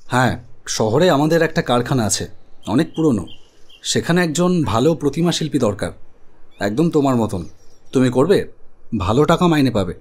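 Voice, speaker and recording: A man playing an earnest, uneasy protagonist who narrates his own story in an animated horror drama. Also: mid-range voice, moderate pace, long pauses, close to an everyday voice. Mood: businesslike. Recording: music under the voice. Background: background music.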